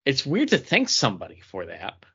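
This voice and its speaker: A man's voice: mid-range voice, wildly swooping pitch, moderate pace, creaky, sharp tone, breathy edge. A man talking casually as one of the hosts of a chatty podcast. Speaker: podcast host. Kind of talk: casual chat. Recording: slightly dull, lo-fi recording.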